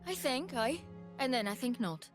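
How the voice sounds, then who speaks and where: A woman's voice: high voice, expressive, normal speed, over music. An actress playing a curious, forthright woman sentinel in game voice lines.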